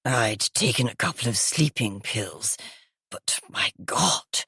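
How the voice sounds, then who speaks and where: A woman voicing a groggy older woman with a croaky, gravelly, smoky voice. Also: extremely low pitch, lively intonation, slow, bright, forceful tone, dry recording, voice pushed down, exaggerated voice.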